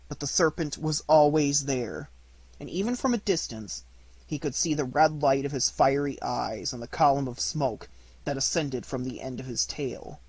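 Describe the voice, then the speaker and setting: A man reading a storybook as scripted audiobook narration, in deliberate, measured phrases. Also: medium pitch, slightly rough, no room sound, rough recording. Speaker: audiobook reader.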